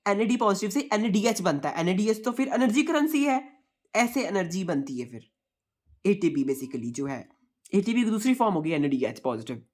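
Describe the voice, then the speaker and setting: A hoarse young man with a sore throat gives an exam revision lecture online, explaining in a repetitive way and calling his viewers friends. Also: sits high, sing-song, normal speed. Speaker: online tutor.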